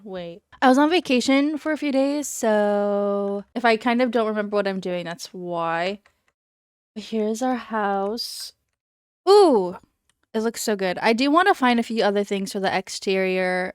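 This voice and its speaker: A woman's voice: mid-range voice, clear, resonant tone, dry recording. A young woman with a slightly congested voice, chatting casually and rambling as she shows off a build in a game. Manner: chatty, rambling, self-correcting. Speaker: Minecraft YouTuber. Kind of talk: casual gameplay commentary.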